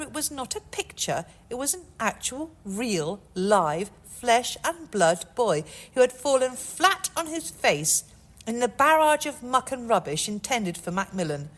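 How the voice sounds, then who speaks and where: A woman author reading a chapter of her own novel in a measured storytelling manner. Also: mid-range voice.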